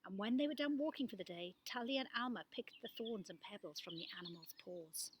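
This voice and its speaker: A woman reading a children's storybook aloud as a storyteller, with expressive, dramatic delivery. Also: mid-range voice, fairly quick, noisy background.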